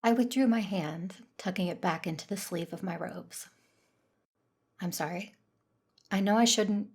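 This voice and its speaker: A woman's voice: on the low side, a little slow, darkish tone, slightly rough. A woman playing a reserved, dutiful Jedi who narrates a sci-fi audio drama in the first person. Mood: subdued, restrained.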